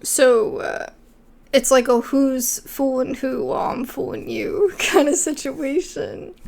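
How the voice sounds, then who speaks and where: A woman's voice: high voice, slow, gravelly, darkish tone. A woman giving casual gameplay commentary as a video creator. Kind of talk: casual gameplay commentary, also reading dialogue aloud.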